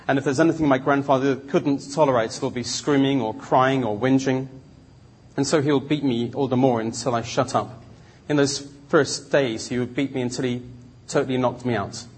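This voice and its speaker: Husky Londoner telling his life story, a man. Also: mid-range voice, fairly even intonation, moderate pace, poor audio. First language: Cantonese. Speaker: speaker giving personal testimony. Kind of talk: autobiographical storytelling to an audience.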